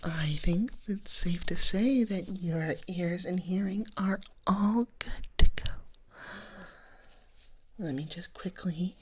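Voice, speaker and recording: A woman acts out a gentle female dragon nurse in an ASMR roleplay. She whispers softly and very slowly, calmly reassuring her patient like a kind clinician. There is some noise behind the voice. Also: lowish voice. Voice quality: soft, breathy whisper. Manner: slow, soothing, reassuring clinical bedside talk.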